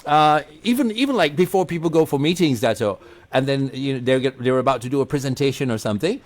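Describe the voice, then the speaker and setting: A man's voice: medium pitch, animated. Man hosting a radio chat. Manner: asks questions, quick affirmations, restarts. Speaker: radio host. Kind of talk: radio interview.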